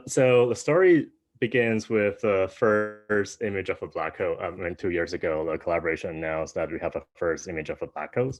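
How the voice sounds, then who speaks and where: A young man from Taiwan giving an online academic talk, soft-spoken with many fillers and restarts, over a video call. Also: low voice, no room sound. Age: young adult. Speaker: guest speaker.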